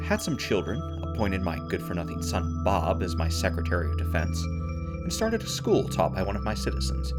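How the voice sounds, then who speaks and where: An actor playing a pompous, boastful, deluded self-proclaimed emperor declaiming grandly in a comedic post-apocalyptic radio drama. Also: male voice, low-pitched. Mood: grandiose.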